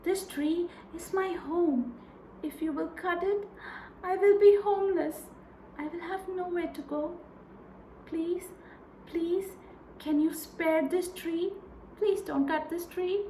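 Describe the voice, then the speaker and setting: A woman voicing a gentle, kindly tree fairy in a children's folktale, speaking softly and earnestly. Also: very high-pitched, slow, recorded from a distance, pitched above the performer's own voice. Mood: pleading. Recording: echoey room with faint noise.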